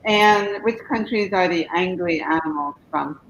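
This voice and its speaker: A woman hosting a conversational live Q&A, affirming what others say and pausing as she goes. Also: fairly low pitch. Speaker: host of live Q&A. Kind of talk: conversational interviewing, reading viewer comments. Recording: dull lo-fi stream with background noise.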